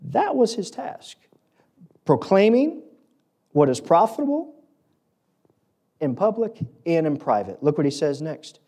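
A man's voice: fairly high pitch, sing-song, a little slow, pauses often, warm tone, husky. A middle-aged American man preaching a sermon as a pastor, emphatic, building short repeated phrases at a lectern microphone. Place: auditorium stage at a lectern, indoors.

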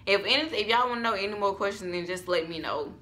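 An eighteen-year-old woman chats in an upbeat, easygoing way. Her voice has a bright, forward tone. She is recorded on a camera mic in a small room at home. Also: mid-range voice, quick pace, slight background noise. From Alabama, US. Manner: upbeat, chatty. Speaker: vlogger. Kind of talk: casual chat.

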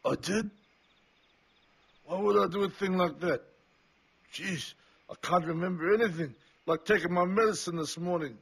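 An actor playing a forgetful, cranky old man in a video game, with a husky voice and a querulous, rambling manner. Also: high-pitched, normal speed, long pauses, a bit bright, full character voice. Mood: confused, rambling. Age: older. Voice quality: husky, raspy. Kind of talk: video game character acting.

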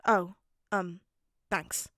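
A woman voicing a gruff, foul-mouthed teenage boy, hot-tempered with grudging softness, in a story reading. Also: lowish voice, fairly even intonation, drawn-out words, no room sound, clean studio sound. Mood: embarrassed, gruff. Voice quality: growly, grumbling delivery. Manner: curt, brusque, sweary. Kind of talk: acted audiobook-style fan fiction reading.

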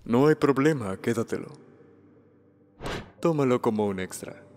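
A man's voice: medium pitch, expressive, unhurried, darkish tone, slight background noise, lightly acted. A man voicing a smug, sly, mocking demon in a webtoon dub.

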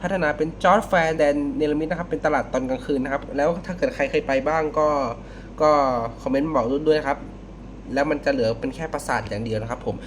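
A man giving casual, unscripted reaction commentary as a video host, halting and repeating words as he thinks. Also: a little high, slight background noise.

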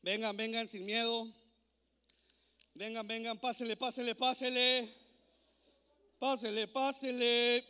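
A man's voice: extremely high pitch, level tone, takes it slowly, pauses often, bright, forceful tone, smooth. A man in his thirties from Mexico, raised in Texas, preaching a playful, joking youth sermon on a handheld mic in a large church auditorium. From born in Mexico, raised in Texas. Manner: playful, joking, coaxing the crowd. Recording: echoey hall recording.

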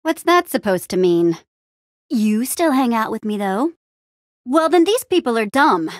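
A woman's voice: a little high, very animated, a little slow, dry recording, studio quality. A woman playing an upbeat, plucky young female adventurer in a video game, with an eager, wry and casual way of talking. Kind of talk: animated adventure game dialogue.

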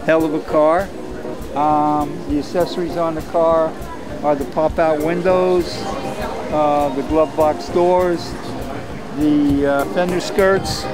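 A man's voice: on the high side, steady tone, slow pace. An older man chatting casually at an outdoor car show, folksy with many ums and uhs, recorded on a handheld camera. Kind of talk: casual interview. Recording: outdoor camera audio with music behind.

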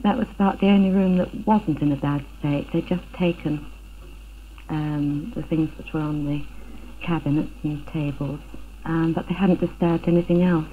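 A woman's voice: sits low, steady tone, moderate pace. A middle-aged woman giving a personal account in an interview, recorded in a living room. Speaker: interviewee, burglary victim. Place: living room at home, indoors. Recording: tinny phone-like 1970s film sound, noisy.

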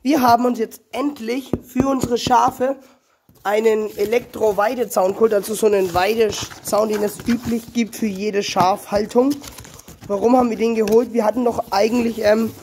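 A young man with a cold and a slightly hoarse voice, rambling and correcting himself as he talks viewers through his farmyard on a handheld phone. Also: high voice, fairly even intonation, moderate pace, background noise. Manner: rambling, self-correcting, addresses viewers directly. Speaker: small-animal farm vlogger. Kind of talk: casual walk-around vlog. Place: outdoors on a small farmyard. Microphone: handheld phone camera.

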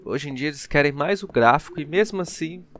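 A man's voice: a little high, expressive, fairly quick, a bit bright, a little hiss or hum. A young Brazilian man giving casual, slangy gameplay commentary as a channel host. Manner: colloquial, slangy asides to viewers.

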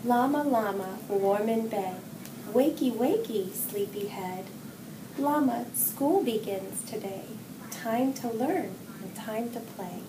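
Middle-aged female storybook reader, expressive and deliberate for young kids; roomy, noisy recording. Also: a little high. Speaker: children's story reader, hospital executive assistant. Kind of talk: picture book read-aloud for young children. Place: indoor room with wall decorations. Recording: roomy echo, distant mic, clear background noise.

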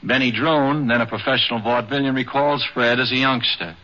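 A man's voice: mid-range voice, steady tone, a little fast, bright, forceful tone. Male narrator on vintage radio. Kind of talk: scripted radio documentary narration. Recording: dull 1950s radio broadcast.

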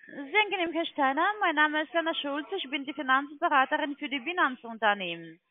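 High-pitched formal woman on the phone. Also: animated, brisk. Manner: formal, polite. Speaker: call center financial sales caller. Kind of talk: scripted sales phone call. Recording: tinny narrowband phone line.

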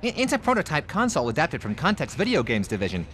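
An actor playing an eager, obsequious defense-contractor techie in a radio satire, fawning and nervously salesmanlike. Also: male voice, fairly high pitch, huge pitch swings, fast talker, fairly bright tone. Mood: nervously upbeat. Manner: fawning, keeps saying sir. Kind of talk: satirical radio comedy acting.

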